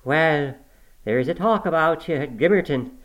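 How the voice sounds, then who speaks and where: An excitable country housekeeper woman from a classic novel, acted out by a male reader. The voice is pitched high, with gossipy, exclamatory rustic turns of phrase and a kindly streak. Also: moderate pace. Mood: excited, gossipy. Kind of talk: audiobook character acting.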